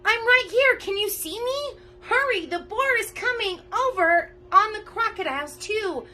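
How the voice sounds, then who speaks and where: Woman voicing an excitable, high-pitched baby dragon. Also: a little slow, slight background noise, exaggerated voice. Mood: frantic, pleading. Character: excited, pleading baby dragon, desperate to escape.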